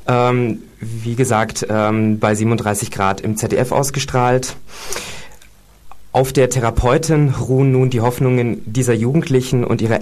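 A man co-hosting a radio show, talking casually with many fillers and reading quotes aloud. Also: medium pitch. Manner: hesitant, with fillers.

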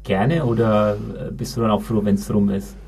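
Low, gravelly man with a mild stutter. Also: steady tone, normal speed, darkish tone. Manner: mild stutter, occasional repeated words. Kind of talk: casual conversation.

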